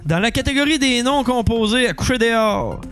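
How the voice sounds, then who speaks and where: A man's voice: very high voice, animated, a little fast, fairly bright tone, soft background music. A man with a Québécois French accent co-hosting a comedy podcast, delivering satirical mock news and often giggling at his own jokes. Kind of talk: satirical mock newscast with banter.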